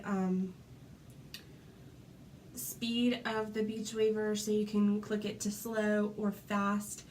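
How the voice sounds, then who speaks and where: A woman's voice: mid-range voice, a little hiss or hum. Congested woman chatting through a tutorial. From Florida. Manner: chatty, many ums, demonstrating while talking. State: congested with a cold. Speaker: hair tutorial host. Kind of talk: casual tutorial chat.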